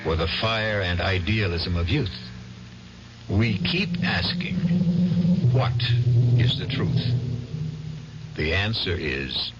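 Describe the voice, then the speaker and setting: Deep-ish man narrating a radio mystery real slow, serious, music underneath, muffled old radio. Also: noisy background. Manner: solemn, deliberate. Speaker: series host and narrator. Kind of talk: scripted narration.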